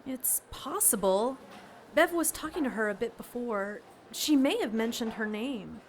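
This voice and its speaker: Worried, higher-voiced client. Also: female voice, lively intonation, unhurried, slight background noise. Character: worried, earnest woman seeking a detective's help. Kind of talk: audio drama dialogue.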